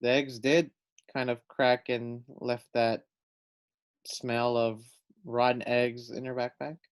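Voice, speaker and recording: A man's voice: mid-range voice, little pitch movement, takes it slowly, smooth. A man reading a children's storybook aloud, adding simple explanatory asides for young listeners. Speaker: storybook reader.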